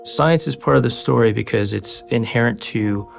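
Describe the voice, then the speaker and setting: A man explaining the story of an animated short in a documentary interview. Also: mid-range voice, normal speed, vocal fry, faint music behind.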